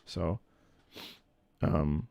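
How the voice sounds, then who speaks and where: A man's voice: low-pitched, extremely slow, vocal fry, mellow, no room sound. A man streaming from home, chatting casually with viewers in a rambling, opinionated way, often repeating and restarting his phrases. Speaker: streamer and content creator. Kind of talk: casual livestream chat with viewers. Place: indoors at home.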